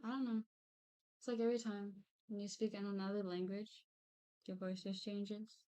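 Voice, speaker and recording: Woman, mid pitch, casual chat, giggly, teasing. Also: flat delivery, moderate pace, dark tone, clean tone. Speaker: streamer's girlfriend.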